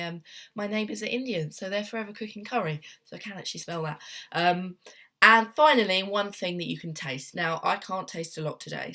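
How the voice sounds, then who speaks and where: A young woman with a slightly low voice talks through coping tips in a relaxed, gentle way, full of ums and little restarts. She has a cold and sounds a bit sniffly. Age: young adult. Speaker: therapist. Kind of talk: casual self-help talk to camera. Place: home room at a desk.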